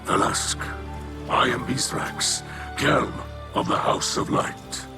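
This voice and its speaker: Noble alien warrior leader, game role: devout, halting, formal, very slow delivery over music. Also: male voice, medium pitch, gravelly. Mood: formal, reverent. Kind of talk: video game cutscene dialogue. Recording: music playing behind the voice.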